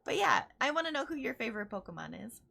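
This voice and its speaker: A giggly woman with a Chicago accent hosts a relaxed online chat. Her voice is bright and ringing, with a high-set tone. Her pitch bounces up and down all the time. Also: creaky. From Chicago, US Midwest. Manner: bubbly and giggly, quick to laugh. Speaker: interview host. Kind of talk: casual online interview chat.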